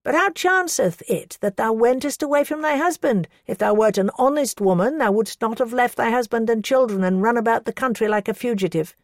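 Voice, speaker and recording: A woman's voice: on the high side, a little fast, hardly any pauses, no echo, clean studio sound, voice pushed up, lightly acted. A woman voicing a stern male bishop who rebukes and mocks a woman before him. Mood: scornful. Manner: scornful, rebuking.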